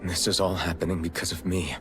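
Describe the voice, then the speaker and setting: Man as an earnest, low-voiced young hero. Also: flat delivery, brisk, over music, close to an everyday voice. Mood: grave. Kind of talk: video game cutscene acting.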